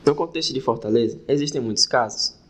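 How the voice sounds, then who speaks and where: A man's voice: mid-range voice, fast talker. A man presenting a podcast from a script, formal and orderly as he asks questions. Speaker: podcast host, interviewer.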